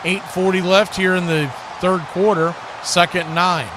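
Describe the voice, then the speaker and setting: A man's voice: high voice, little pitch movement, moderate pace. A man calling live football play-by-play as a radio announcer. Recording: clearly noisy background hiss and ambience.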